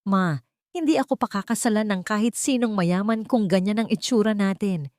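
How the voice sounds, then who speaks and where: A woman's voice: mid-range voice, normal speed, a little mellow, dry recording, clean studio sound, pitched above the performer's own voice, natural voice. A woman voicing a sulky, sarcastic, spoiled young daughter in a story, snippy and scornful.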